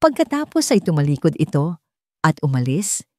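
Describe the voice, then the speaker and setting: A Filipino woman narrates a dramatic story in a low register. The recording is dry and close, with hardly any room around her. Her delivery is that of a scripted storyteller. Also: very animated, moderate pace, darkish tone, no room sound.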